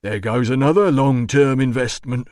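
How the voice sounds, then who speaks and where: A man voicing a burly veteran doorman in an audiobook, gruff, mocking and quietly menacing. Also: mid-range voice, moderate pace, no room sound, clean studio sound, natural voice. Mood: mocking menace. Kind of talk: audiobook character voice.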